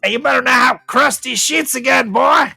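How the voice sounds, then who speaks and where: A man voicing an angry, belittling old grandmother who shouts insults in a comedy story. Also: extremely high pitch, moderate pace, gravelly, dry recording, soft background music, voice pushed up, exaggerated voice. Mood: angry, mocking.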